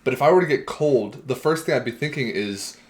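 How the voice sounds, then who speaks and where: A man's voice: mid-range voice, expressive, a little fast. A young adult man co-hosting a casual chat, with a slightly nasal voice and joking banter. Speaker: podcast co-host. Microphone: headphones and streaming mic.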